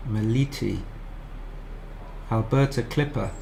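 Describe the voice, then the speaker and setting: A man's voice: mid-range voice, slow, gravelly, darkish tone, a little hiss or hum, poor audio. A man giving casual live commentary on a match as a streamer, chatty and thinking aloud with frequent restarts.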